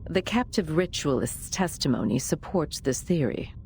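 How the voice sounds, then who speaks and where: A woman's voice: low voice, animated, moderate pace, creaky, darkish tone, a little hiss or hum. An actress playing a composed, authoritative female official in a video game, speaking formally and decisively. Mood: solemn, measured. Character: composed, authoritative senior official, a woman in command. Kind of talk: dramatic video game voice acting. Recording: music under the voice. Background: background music.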